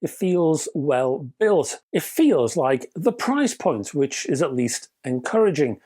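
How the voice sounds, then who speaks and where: A man's voice: on the high side, takes it slowly, husky. A man reviewing a portable music player in an emphatic, wry, opinionated way. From Britain. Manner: animated, emphatic, wry, British turns of phrase. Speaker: audio equipment reviewer. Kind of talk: scripted product review with opinionated asides.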